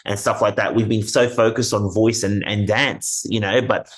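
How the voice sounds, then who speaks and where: A man's voice: fairly low pitch, fairly even intonation, moderate pace. A man hosting a conversational interview, earnestly advising listeners and repeating his points. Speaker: interview host.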